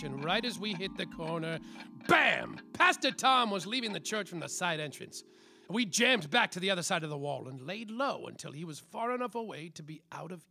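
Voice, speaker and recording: A man's voice: high voice, gravelly, pushed and bright, exaggerated voice. A man playing a world-weary, bumbling private detective, melodramatic and self-important, in a noir parody radio play.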